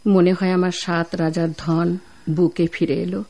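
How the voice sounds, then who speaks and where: A woman's voice: on the low side, level tone, moderate pace, mellow, close to an everyday voice. A woman with a Bangladeshi accent playing a doting, pious mother of a grown son in a radio drama. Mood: tender, glad. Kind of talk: Bengali radio drama acting.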